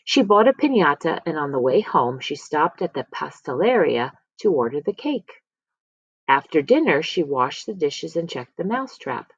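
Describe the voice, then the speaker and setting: A woman storyteller reads a children's picture book in a highly animated voice that rises and falls widely. She sits a little low in pitch and leans on words with dramatic pauses. The recording is dry and slightly dull. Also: slightly rough, no echo. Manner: expressive, dramatic pauses and emphasis. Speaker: read-aloud storyteller.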